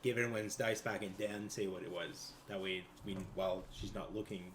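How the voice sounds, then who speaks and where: A man's voice: fairly low pitch, steady tone, a little fast, fairly bright tone, a little hiss or hum. A man talking casually as a player at a tabletop roleplaying game. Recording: echoey room with group chatter.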